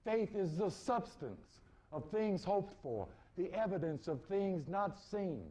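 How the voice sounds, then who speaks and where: A man with a high-pitched voice delivers a spiritual sermon at a very slow pace. He is deliberate and emphatic, circling back to repeat key phrases. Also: animated, warm tone. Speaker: spiritual preacher. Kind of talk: esoteric sermon.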